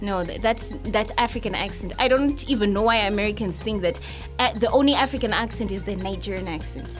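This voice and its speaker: A woman's voice: mid-range voice, a little fast. A woman hosting a casual entertainment discussion in a conversational, opinionated way. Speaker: talk show host. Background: music playing behind the voice.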